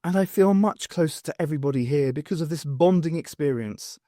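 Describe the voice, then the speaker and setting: A man's voice: fairly high pitch, a little fast, warm tone, no room sound, clean studio sound, close to an everyday voice. A man voicing an earnest, sentimental female student in an audiobook, murmuring sincerely.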